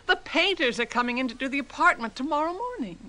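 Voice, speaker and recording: An actress playing a worried wife in a radio drama, calming and coaxing. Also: female voice, high-pitched, fast. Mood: soothing. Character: soothing worried wife, adult woman. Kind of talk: 1990s radio drama acting.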